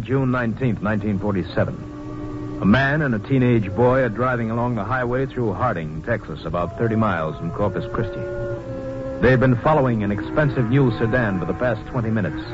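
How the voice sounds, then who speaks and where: A man narrating a 1950s radio drama, measured and scene-setting. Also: mid-range voice. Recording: dull old radio recording. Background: music.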